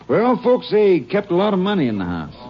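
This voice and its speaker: An actor playing a seasoned, middle-aged, plain-spoken sheriff in a 1950s western radio drama. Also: male voice, high voice, wildly swooping pitch, brisk. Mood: explaining. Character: seasoned, folksy male small-town sheriff. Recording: muffled old radio recording, background voices.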